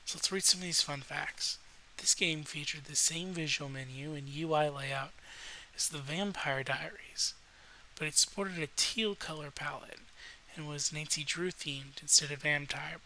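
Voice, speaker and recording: A man with a slightly rough voice, rambling in a relaxed, hesitant late-night style with frequent pauses, as a video host. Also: fairly high pitch, rough recording. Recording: noticeable faint background hiss.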